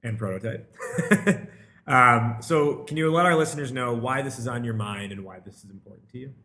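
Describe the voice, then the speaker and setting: A man's voice: medium pitch, moderate pace, sharp tone. A man hosting a podcast interview, friendly and chatty, who asks long, rambling questions and laughs easily. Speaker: podcast host.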